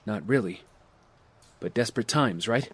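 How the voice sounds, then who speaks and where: A man's voice: on the low side, expressive, measured pace, fairly bright tone, slight background noise. A man acting in an audio drama as an earnest, skeptical older brother who leads a team. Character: serious, cautious big brother leading a team. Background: music.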